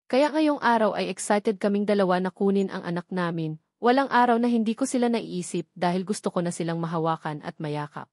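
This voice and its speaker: A woman's voice: medium pitch, expressive, brisk, a little mellow, no echo, studio quality. A woman reading a scripted first-person story as an audiobook narrator.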